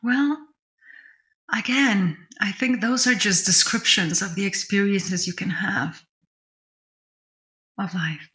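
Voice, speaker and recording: A woman's voice: mid-range voice, slow, long pauses, sharp tone. A woman co-hosting a spiritual discussion podcast, thinking aloud in a reflective way and restating her points. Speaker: podcast co-host.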